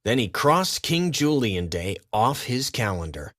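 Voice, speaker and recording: A man's voice: mid-range voice, very animated, a little slow, fairly bright tone, dry recording, studio quality. A man reading a scripted children's story as its narrator.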